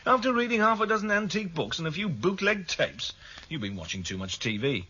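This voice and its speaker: Bright, raspy, animated know-it-all. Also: male voice, on the high side, fairly quick, highs cut off, full character voice. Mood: scoffing, amused. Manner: chatty, emphatic, confident. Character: breezy, scoffing male colleague, confident know-it-all. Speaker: actor in a radio drama. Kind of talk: radio drama acting.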